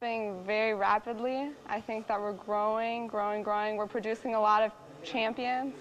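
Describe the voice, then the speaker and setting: A woman answering in an interview clip, measured and a little hesitant. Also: medium pitch, steady tone, lo-fi sound.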